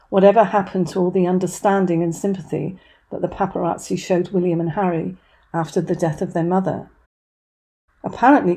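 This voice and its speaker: A woman's voice: on the low side, warm tone, low-quality recording. A woman giving opinion commentary as a voice-over. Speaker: commentary channel host.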